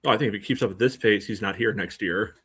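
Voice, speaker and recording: A man co-hosting a sports podcast, giving casual analysis in a halting, conversational way. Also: on the low side, a bit bright, slightly rough. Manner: halting, conversational, peppered with "you know". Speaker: podcast co-host.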